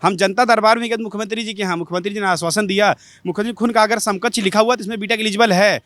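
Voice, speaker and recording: A man's voice: high voice, fairly even intonation, racing, a bit bright, dry recording. A man in his twenties, a protesting candidate, answering a reporter insistently and emphatically. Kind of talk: street interview answer.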